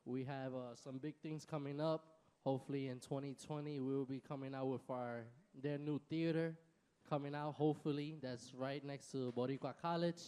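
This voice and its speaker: Man giving slow, casual stage shout-outs. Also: medium pitch, steady tone, smooth. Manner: casual, hesitant stage patter. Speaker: bandleader and emcee. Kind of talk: bilingual stage thank-yous and shout-outs. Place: concert hall stage.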